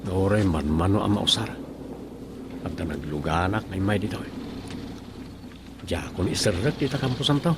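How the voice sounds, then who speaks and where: A man's voice: low voice, moderate pace, pauses often, heavy background noise, lightly acted. An actor playing an exasperated grown son of an old father in an Ilocano comedy radio drama, with a Filipino accent and a fussing, muttering way of talking. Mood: grumbling, searching.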